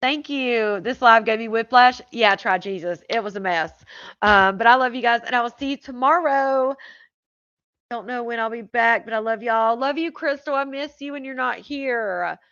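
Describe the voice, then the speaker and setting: A woman's voice: on the high side, normal speed, a bit bright, dry recording, highs cut off. A woman hosting a long livestream, chatting casually with viewers and answering their comments, her voice a little tired after hours on air. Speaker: live stream host. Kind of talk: casual chat with live viewers.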